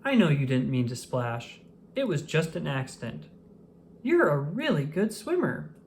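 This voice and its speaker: A woman's voice: deep voice, big pitch swings, a little slow, slight background noise, full character voice. A woman reading a storybook, voicing a shy, sweet little girl who is eager to learn to swim. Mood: friendly. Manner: soft eager childlike talk.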